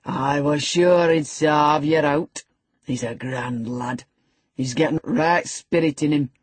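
A woman voicing a gloating old male farm servant with a croaking laugh. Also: deep voice, fairly even intonation, slow pace, no room sound, exaggerated voice. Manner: gloating, drawling.

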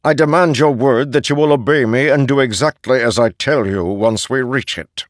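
A man voicing a solemn immortal male herald who speaks in a measured, cold, imperious way. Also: mid-range voice, no echo, clean studio sound, voice pushed up, natural voice. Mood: coldly commanding.

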